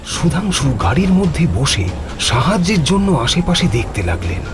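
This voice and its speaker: A man's voice: a little high, big pitch swings, moderate pace. A man narrating a scripted horror story in a dramatic, suspenseful way. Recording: music behind the voice.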